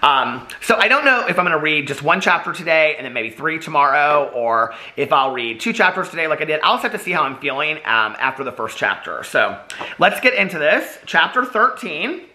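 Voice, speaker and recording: Man, author reading aloud, bright tone, slightly worn voice, small restarts. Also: a little high, big pitch swings, brisk. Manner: chatty, friendly intro, unpolished read-through with small restarts. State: slightly losing his voice. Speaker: author reading his own novel aloud. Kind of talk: casual chat, then reading a novel aloud.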